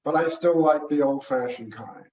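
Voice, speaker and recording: A crusty old male sheriff in a mystery tale, as read by a man. He is gruff but fond of those around him. The recording is roomy and slightly muffled. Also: a little high, moderate pace, a little mellow, close to an everyday voice. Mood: gruff, dry. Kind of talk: mystery short story reading. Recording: roomy, muffled, distant mic.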